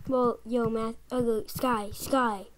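A woman's voice: a little high, little pitch movement, slow pace, noisy background. A young woman hosting a casual gameplay video, chatty and teasing, often mumbling to herself. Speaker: gaming channel host.

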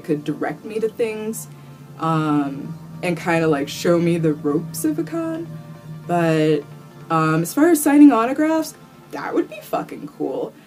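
Young woman chatting to her camera, kinda low voice, rambly and sweary, faint music under it. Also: darkish tone. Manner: chatty and rambling, curses casually, many fillers and restarts. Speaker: cosplay vlogger answering viewer questions. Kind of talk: casual Q&A to camera. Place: small indoor room, plain wall.

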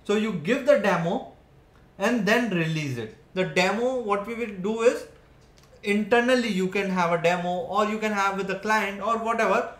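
A man in his thirties walks through a whiteboard lesson in a high voice. He keeps checking in with his listeners as he goes. The room around him rings with echo. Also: a bit bright. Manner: explanatory, frequent "right?" and "okay" checks. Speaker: software engineering teacher. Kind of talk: whiteboard tutorial. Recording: echoey room.

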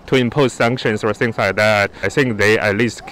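A man's voice: lowish voice, steady tone, normal speed, fairly bright tone. A young man with a Chinese accent answering informally in a street interview, often rephrasing himself. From China. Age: young adult. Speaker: law student at a rally. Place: outdoor city park at a rally. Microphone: handheld interviewer mic, speaking through face mask.

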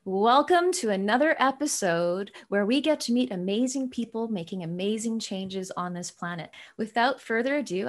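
Upbeat woman hosting an interview. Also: medium pitch. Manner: enthusiastic, upbeat. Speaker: host. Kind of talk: interview host introduction. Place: home room, indoors.

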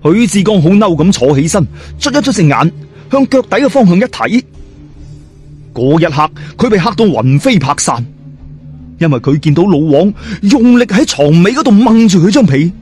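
A man's voice: high-pitched, big pitch swings, moderate pace, a little mellow, faint music behind. A man telling a ghost story in a dramatic, colloquial and suspenseful way.

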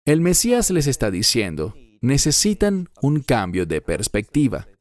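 A man dubbing an earnest Bible teacher in his fifties, delivering a verse-by-verse sermon with emphatic, deliberate phrasing. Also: mid-range voice, wildly swooping pitch, darkish tone.

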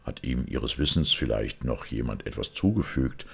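A man voicing a calm, shrewd priest detective who explains a crime gravely in a gravelly voice, in an audiobook. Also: extremely low pitch, moderate pace, heavily acted. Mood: grave, probing.

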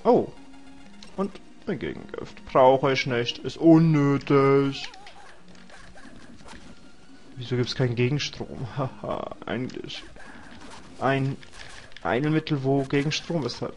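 A young man with a cold, casually rambling and joking to himself while commentating a video game. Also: medium pitch. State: has a cold. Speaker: let's play host.

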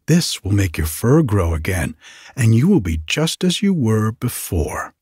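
Man reading as a slow, falsely kind young prince. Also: lowish voice, very animated, a little mellow, slightly rough, no echo, clean studio sound, full character voice. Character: spiteful young prince feigning kindness.

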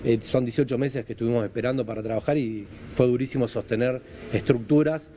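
A man in his forties with an Argentine accent, explaining things in a measured, formal way in a live news interview. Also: mid-range voice, fairly even intonation, dark tone, faint music behind. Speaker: event hall business representative, interviewee. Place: on location at an event hall. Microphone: handheld microphone, through a face mask. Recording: faint background noise and ambience.

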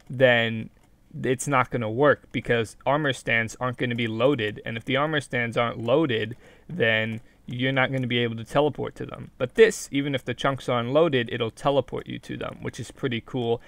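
A young man with a slightly hoarse voice from being sick, casually explaining a game build in a chatty, self-correcting way at his computer. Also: medium pitch, a bit bright, dry recording. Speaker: Minecraft video creator. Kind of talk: casual screen-recorded tutorial.

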